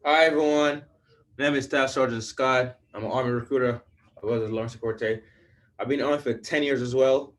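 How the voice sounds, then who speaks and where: A man's voice: mid-range voice, steady tone, fairly quick. A man from Jamaica, an army recruiter, adding points in a casual way and often checking with "right?". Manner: casual, keeps asking "right?". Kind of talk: informational talk.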